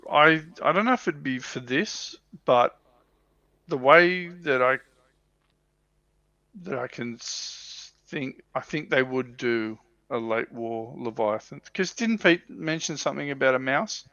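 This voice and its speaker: A man's voice: mid-range voice, lively intonation, unhurried, sharp tone, no echo. A man on a livestream panel in casual hobby chat, hesitant and thinking aloud. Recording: dry, dull lo-fi microphone sound.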